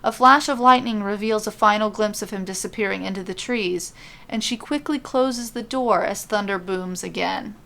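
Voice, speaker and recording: A woman's voice: medium pitch, normal speed, gravelly, sharp tone, a little hiss or hum. A woman narrating a scripted story as a podcast storyteller.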